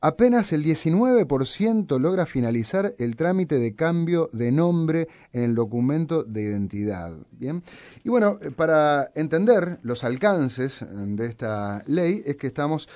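Uruguayan radio host, man, mid-range voice, patient explainer with steady "eh" fillers. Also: fairly high pitch, a little slow, no echo. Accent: Uruguayan Spanish. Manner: explanatory, many "eh" fillers and "¿no?" tags. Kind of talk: radio talk and interview.

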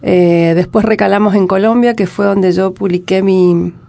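A woman in her forties with an Argentine accent, a writer answering interview questions in a reflective way, often pausing and restarting. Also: mid-range voice, steady tone, gravelly, mellow. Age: 40s. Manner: reflective, talks in halting restarts. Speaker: guest, poet and writer. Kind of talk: casual interview conversation.

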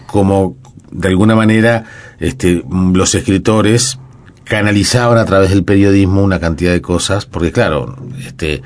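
A man leads the interview in a very deep voice. He tends to wander into long, musing asides before he reaches his question. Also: normal speed. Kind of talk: casual interview conversation.

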